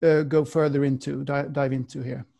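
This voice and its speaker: A man's voice: medium pitch, little pitch movement, moderate pace, vocal fry, dark tone. A man hosting an interview, a native Swedish speaker talking in English, thoughtful and searching for words. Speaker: podcast host. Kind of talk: interview questions.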